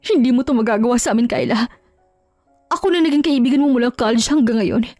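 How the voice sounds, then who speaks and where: A woman voicing a desperate mistress in an audio drama, shrill, indignant and pleading. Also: fast talker, warm tone, no room sound, faint music behind. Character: desperate mistress, angry, pleading young mother.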